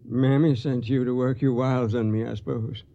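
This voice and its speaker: A man's voice: mid-range voice, steady tone, moderate pace, warm tone, lightly acted. An actor playing an ailing, stubborn old father in a radio drama, weak but affectionate. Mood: frail, tender. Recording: slightly muffled radio recording.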